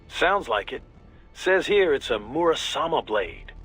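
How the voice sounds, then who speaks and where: A man's voice: a little high, sing-song, measured pace, a bit bright, breathy edge, soft background music, exaggerated voice. An actor playing an easygoing, tech-savvy male mission advisor in a video game, explaining things casually and keenly. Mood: amused, casual. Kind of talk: video game radio briefing voice acting.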